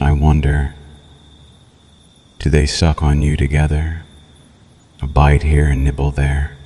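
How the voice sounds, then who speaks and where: A sadistic male vampire, acted by a man with a profoundly deep voice. He speaks slowly and deliberately, in formal, theatrical phrases. His manner is taunting and seductive, a menacing purr made for ASMR roleplay. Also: darkish tone.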